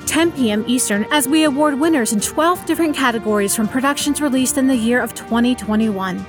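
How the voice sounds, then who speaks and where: A woman announcer reading a scripted promo in a clear, upbeat way. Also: sits high.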